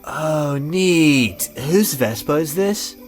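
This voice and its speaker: A man playing a laid-back, dry-witted male friend in a visual novel, with a casual, deadpan delivery. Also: on the high side, very slow, faint music behind.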